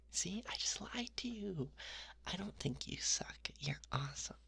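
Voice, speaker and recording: A woman's voice: on the low side, lively intonation, unhurried, vocal fry, pushed and bright, slightly rough. A young woman whispering in a playful, rambling ASMR monologue, teasing the listener and repeating words over and over. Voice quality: whispering throughout. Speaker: ASMR creator.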